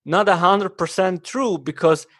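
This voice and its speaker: A man hosting a podcast interview, friendly and quick to laugh. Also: sits high, fairly bright tone, no echo, clean studio sound. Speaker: podcast host.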